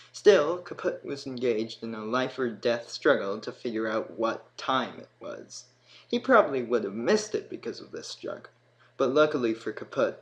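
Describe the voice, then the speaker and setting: A man narrating a scripted fantasy story in a dramatic manner, with emphatic swells and hushed drops. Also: fairly low pitch, low-quality recording. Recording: clear background noise.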